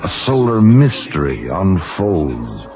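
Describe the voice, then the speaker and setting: Slow deep American narrator. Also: male voice, big pitch swings, a crowd in the background, heavily acted. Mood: solemn, ominous. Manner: measured, portentous. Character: grave male narrator of a space adventure serial. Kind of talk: dramatic scripted radio drama narration. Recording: dull vintage radio recording with music.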